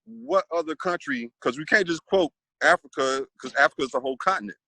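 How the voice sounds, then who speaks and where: A man's voice: fairly high pitch, expressive, fairly quick, gravelly, pushed and bright, no room sound, poor audio. A man leading a casual panel discussion, thoughtful and rambling with many restarts.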